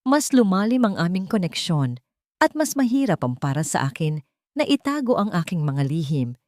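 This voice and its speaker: A woman narrating a first-person audiobook drama in a steady, dramatic reading on a close mic. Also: fairly low pitch, normal speed, no room sound.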